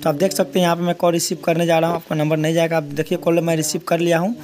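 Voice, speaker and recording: A man's voice: a little high, flat delivery, racing, a little mellow. A man presenting an informal step-by-step tech tutorial, repeatedly addressing his viewers as friends. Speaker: host of a tech tutorial channel. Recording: echoey hall-like room, faint noise.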